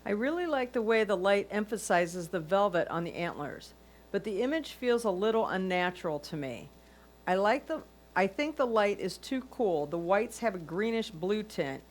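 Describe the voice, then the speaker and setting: Woman, medium pitch, reading prepared critique aloud. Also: animated, moderate pace. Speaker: reader of judges' comments.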